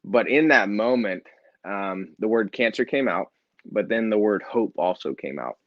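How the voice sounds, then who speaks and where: Middle aged guy, lowish voice, laid back storyteller, dry muffled audio. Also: moderate pace, no echo. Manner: relaxed, earnest, light self-deprecating humor. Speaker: nonprofit founder and author. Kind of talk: casual interview storytelling.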